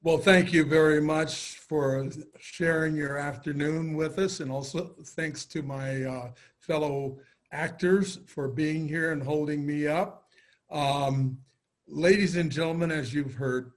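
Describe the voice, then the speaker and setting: A man, a stage actor, giving informal closing remarks to an audience. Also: on the high side.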